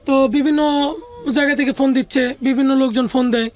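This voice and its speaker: A woman's voice: high voice, steady tone, brisk, darkish tone. A woman with a Bangladeshi accent telling a personal life story as an interview guest, conversationally, often voicing what others said.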